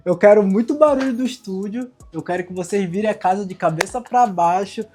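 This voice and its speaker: A man hosting a casual podcast, talking in an energetic, slangy way and hyping up the studio. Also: sits high, moderate pace, faint music behind. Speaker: podcast host. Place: studio in a house.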